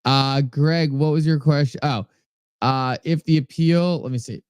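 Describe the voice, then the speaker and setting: Casual male livestream host with a New England accent, smooth clean voice, dry close sound, friendly chat-reading delivery. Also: a little high, fairly even intonation, unhurried, a little mellow, no room sound. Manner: chatty, reads viewer comments aloud and thanks them by name.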